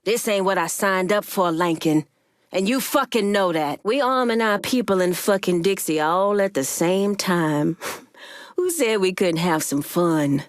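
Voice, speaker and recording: A woman's voice: mid-range voice, lively intonation, a little slow, dry recording, studio quality, close to an everyday voice. An actress playing a tough, shrewd Haitian woman gang boss in a video game, blunt, streetwise and commanding. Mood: angry, threatening, then measured, approving.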